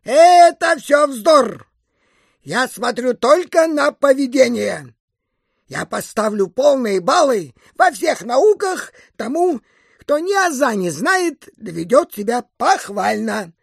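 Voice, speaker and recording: A petty, strict schoolteacher for an audio drama. The voice is pitched high and thoroughly gravelly, with a self-important, scolding air. It swings up and down as it moralizes. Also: big pitch swings, slow, dry recording, clean studio sound, heavily acted. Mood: contemptuous preaching. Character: strict, petty schoolteacher who hates clever boys. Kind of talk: audio drama character acting.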